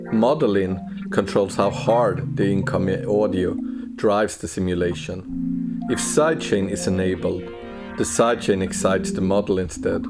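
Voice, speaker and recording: Male, 40s, low register, understated tutorial narrator with measured delivery, music underneath. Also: darkish tone. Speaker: plugin developer presenting walkthrough.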